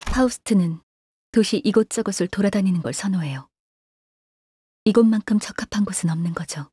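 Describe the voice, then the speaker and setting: An actress playing a composed, analytical young female agent in a video game, speaking formally and calmly in a soft, breathy voice. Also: medium pitch, fairly quick, no echo. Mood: composed, detached. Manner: calm, detached, formal, refers to herself by name. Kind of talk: scripted game character voice lines.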